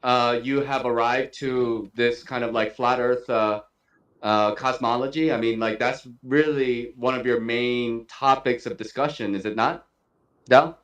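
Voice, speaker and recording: A man's voice: medium pitch, steady tone, measured pace, a bit bright. Polite male interviewer with many fillers. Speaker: interview host. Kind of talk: live stream interview.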